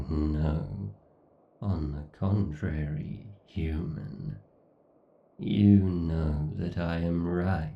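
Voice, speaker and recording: A man voicing an arrogant, obsessed, sadistic AI god in a horror roleplay, pompous and menacing, with theatrical pauses. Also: sits low, gravelly, roomy sound, far from the mic, muffled recording, exaggerated voice. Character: obsessed, sadistic, arrogant godlike male AI villain. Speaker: voice actor. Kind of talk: horror ASMR roleplay monologue.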